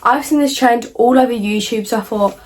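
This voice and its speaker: A young woman, croaky from a cold, chatting casually through a makeup tutorial with lots of "like" and "um". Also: a little high, fairly quick. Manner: chatty, rambling, many fillers like "like" and "um". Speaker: beauty vlogger. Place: at home in front of a mirror.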